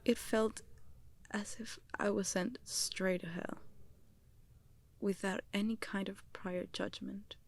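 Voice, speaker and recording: A woman playing a grieving young widow in an audio drama, speaking in a hushed, breathy voice. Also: mid-range voice, little pitch movement, slow, pauses often. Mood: broken, desolate. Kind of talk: audio drama with musical numbers.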